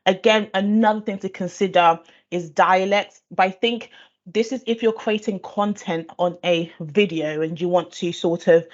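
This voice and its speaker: A woman's voice: on the low side, normal speed. A woman from Nigeria who grew up speaking Igbo, explaining SEO in a thoughtful conversational way, with fillers and restarts. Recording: dull lo-fi audio.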